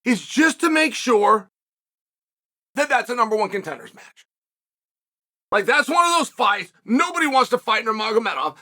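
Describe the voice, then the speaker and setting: A man with a high, bright voice and a cutting edge to his tone. He hosts a show and talks through fights casually, brash and emphatic, hammering home each point by repeating it. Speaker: podcast host and MMA commentator.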